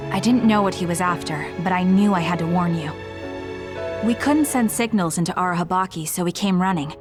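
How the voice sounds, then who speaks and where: Woman as composed young fighter, loud music behind. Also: on the low side, fairly quick, natural voice. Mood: explanatory. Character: serious, composed young female platoon fighter. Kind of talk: anime game dub acting.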